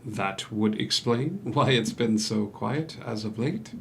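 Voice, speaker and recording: Man acting a slow, modest, ingratiating gentleman. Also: on the low side, gravelly, slight background noise. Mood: reserved, understanding. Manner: halting, measured. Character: soft-spoken, ingratiating gentleman schemer, outwardly modest. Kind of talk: musical table read acting.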